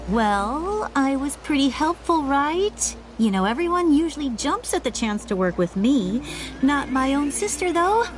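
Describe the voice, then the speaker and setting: A woman's voice: sits high, sing-song, measured pace, music in the background, poor audio. A woman voicing a plucky, cheeky young girl scout in a video game, chirpy, exclamatory and eager for recognition. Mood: cheeky, teasing. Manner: chirpy, exclamatory, talks to herself.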